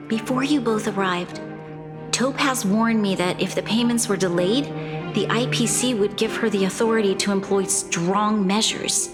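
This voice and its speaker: A voice actress plays a young woman who leads a troubled city in a video game. She speaks formally and with measured, earnest weight. Her voice rings in a long reverb, with music clearly behind it. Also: mid-range voice, close to an everyday voice. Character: earnest, burdened young female leader of a besieged city. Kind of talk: video game cutscene voice acting.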